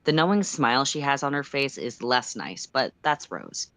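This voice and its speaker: Story reader, mid-pitched voice, very animated intonation, expressive fiction narration, dry close sound. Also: normal speed, no room sound.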